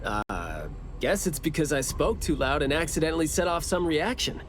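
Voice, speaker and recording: An actor playing a chatty, teasing, curious young investigator in a game cutscene, with a breezy, wry manner. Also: male voice, a little high, sing-song, normal speed. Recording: music behind the voice.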